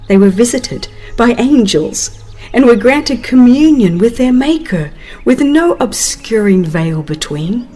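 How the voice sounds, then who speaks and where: Slow, reverent woman narrator over music. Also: medium pitch, a little mellow, slightly rough. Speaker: audiobook narrator. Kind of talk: dramatized scripted narration of a religious text.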